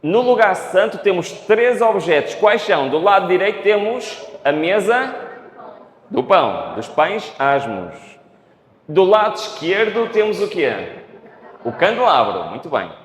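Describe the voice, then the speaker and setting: A man with a European Portuguese accent preaches slowly, with a fairly high voice. He keeps asking the congregation questions. The sound is roomy, with a long reverb from a hall. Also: big pitch swings. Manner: unhurried, asks the audience questions. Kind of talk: Bible study sermon. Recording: echoey hall.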